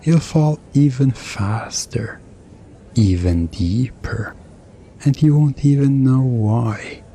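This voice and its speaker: A man playing a mischievous, smugly commanding hypnotist in an ASMR roleplay, soothing and teasing with deliberate pauses. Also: mid-range voice, dark tone, slight background noise.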